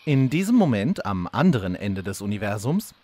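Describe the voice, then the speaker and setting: A man's voice: on the low side, big pitch swings, moderate pace, dark tone, no echo. A man playing the storyteller, giving scripted narration for a comedic fantasy radio play. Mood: mock-solemn. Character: male storyteller narrator of mock fantasy soap.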